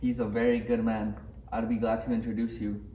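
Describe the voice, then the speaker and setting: A man playing a polite, halting alien cloner with a salesman's pride, in a parody table read. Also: on the low side, little pitch movement, warm tone, dull audio, natural voice. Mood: polite, eager. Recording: echoey room, distant mic, faint noise.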